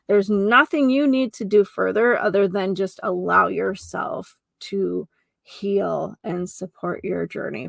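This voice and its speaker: A woman's voice: medium pitch, creaky, darkish tone, dry recording, clean studio sound. A woman hosting a solo healing podcast, speaking to listeners in an earnest, effusive manner and stressing key words. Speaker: host of a holistic healing podcast; geomancer and energy healer.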